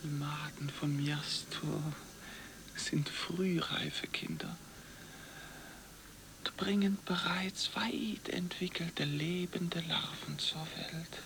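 A narrator reciting a scripted literary text deliberately, often in a breathy half-whisper. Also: mid-range voice, noisy background.

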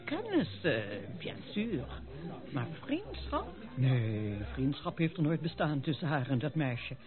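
A woman's voice: low-pitched, sing-song, slow pace, exaggerated voice. An actress playing a haughty, scandalised society lady with an affected, disapproving manner in a radio play. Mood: disdainful. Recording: muffled old radio recording, faint music behind.

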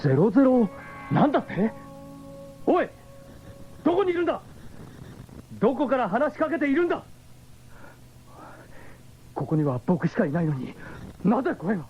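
High-pitched, earnest young cyborg hero. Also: male voice, wildly swooping pitch, normal speed, pauses often, exaggerated voice. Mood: alarmed, bewildered. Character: young male orphan turned cyborg hero, bewildered and earnest. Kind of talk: 1970s Japanese radio drama acting. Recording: dull old radio tape, loud music.

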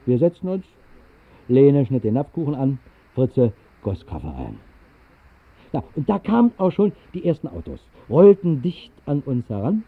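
A man narrating a scripted literary radio play in a measured, articulate way with deliberate emphasis. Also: medium pitch, wildly swooping pitch, husky. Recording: muffled, narrow-band 1960s radio recording.

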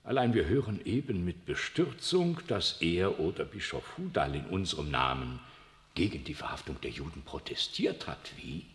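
An actor playing an imperious, evasive aging pope in a 1960s radio drama, speaking in a lofty, cold way and using the royal we. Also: male voice, sits low, very animated, moderate pace. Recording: long reverb of a large room.

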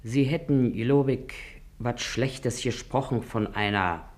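A woman's voice: very deep voice, slow pace, a little mellow. An actress playing a shrewd, chatty working-class mother in a German radio play, middle-aged, with a Berlin accent and a wheedling, emphatic way of talking. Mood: confidential, cautioning.

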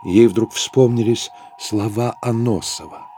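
An actor as the composed narrator of a literary audio drama, reading scripted prose in a serious storytelling manner. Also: male voice, on the low side, fairly even intonation, unhurried, warm tone, a little hiss or hum, lightly acted.

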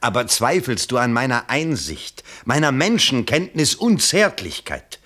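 A man's voice: mid-range voice, very animated, a little slow, a bit bright. An actor in a 1960s German radio play, playing a middle-aged bourgeois father who pleads theatrically and wheedles. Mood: urgent persuasion. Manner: theatrical, wheedling, swinging into outbursts. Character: desperate, pleading bourgeois father and election candidate.

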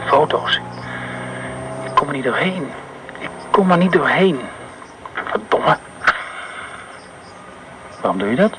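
An actor playing a brooding man haunted by war memories, halting and repeating phrases, in a radio play. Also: a little high, animated, very noisy.

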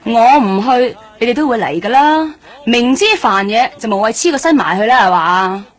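An actress playing a jaded, streetwise nightlife hostess in a Cantonese radio drama, talking in a blunt, offhand, slangy way. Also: female voice, a little high, animated, normal speed. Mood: jaded, flippant. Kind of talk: radio drama acting, colloquial dialogue.

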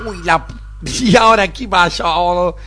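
A man's voice: sits high, moderate pace, very noisy, close to an everyday voice. A man playing a spoiled, impatient young boss with an affected upper-class Buenos Aires drawl, whiny and dismissive, in an amateur radio play. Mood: scornful. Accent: Argentine, Buenos Aires. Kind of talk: amateur radio drama acting.

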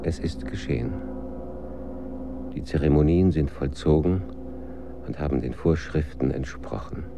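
An actor playing a grave imperial chronicler in a radio drama, solemnly and deliberately reciting official records. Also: male voice, very deep voice, gravelly, heavily acted. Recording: noisy ambience behind.

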